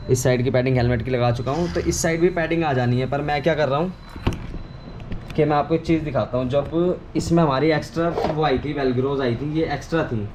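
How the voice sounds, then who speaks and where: A man's voice: fairly high pitch, darkish tone. Man, casual tutorial, noisy background. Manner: informal, explanatory, repeats himself. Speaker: video creator giving a how-to. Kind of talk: casual hands-on tutorial chat. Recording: clearly noisy ambient background.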